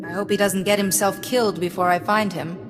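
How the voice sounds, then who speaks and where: A woman's voice: mid-range voice, steady tone, brisk, close to an everyday voice. A woman playing a cool, assertive young Jedi in a video game, with a dry, sardonic manner. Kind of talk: cinematic video game dialogue. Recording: hall reverb, faint music behind.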